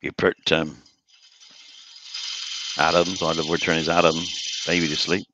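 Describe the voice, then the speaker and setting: A man's voice: a bass voice, little pitch movement, measured pace, highs cut off, noisy background. A man co-hosting a live stream, trading teasing, chatty banter and welcoming viewers. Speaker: co-host. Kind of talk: casual chat.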